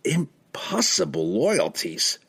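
A frightened fantasy wizard, played by a male narrator, gasping and blurting answers to his master. The voice is husky and lively, full of nervous disbelief, in storybook style. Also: mid-range voice, unhurried, voice pushed up, exaggerated voice. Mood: dumbfounded. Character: frightened, dumbfounded male wizard underling of a crime boss. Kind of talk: fantasy audiobook character acting.

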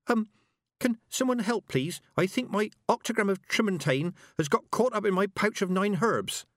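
A man's voice: high voice, moderate pace, fairly bright tone, no room sound, clean studio sound, pitched above the performer's own voice. A man voicing a nervous, stammering young witch girl, full of little ums and flustered breaths.

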